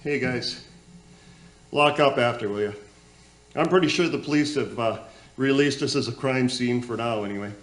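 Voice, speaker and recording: A man's voice: medium pitch, moderate pace, pauses often, slight background noise, natural voice. A man playing a flustered radio show host in a comic radio play, folksy and hesitant as he glosses over trouble. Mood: weary, casual.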